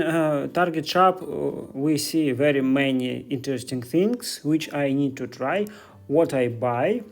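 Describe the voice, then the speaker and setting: Man in his thirties, Russian accent, curious and chatty in broken English, slightly high voice, phone-vlogging in stores. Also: darkish tone. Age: 30s. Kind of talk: casual walking vlog. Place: stores in the city.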